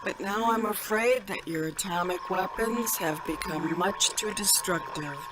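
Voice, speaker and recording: Ancient cosmic mother, played by a woman: grave, slow, low-voiced, radio drama, heard through a very noisy transmission. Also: close to an everyday voice. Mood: solemn, grave. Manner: slow, solemn, measured. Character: ancient motherly cosmic being, grave and watchful over humanity.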